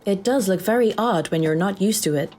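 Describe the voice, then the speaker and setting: A woman playing a brisk, dry-witted AI assistant in a comic sci-fi audio drama. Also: medium pitch, darkish tone, slight background noise, natural voice. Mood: calm, wry. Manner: crisp, dry, businesslike.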